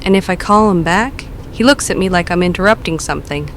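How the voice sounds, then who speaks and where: Casting: actress as hesitant uneasy caller, lowish voice, faint noise behind. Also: female voice, close to an everyday voice. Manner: hesitant, halting, self-deprecating. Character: nervous, self-doubting woman caller.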